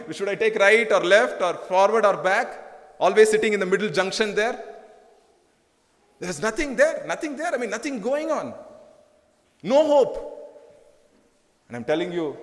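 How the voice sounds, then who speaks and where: Man, Indian English accent, pastor, fervent sermon, high voice, long church reverb. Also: a bit bright. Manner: fervent, repetitive, rising to emphatic bursts. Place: church hall.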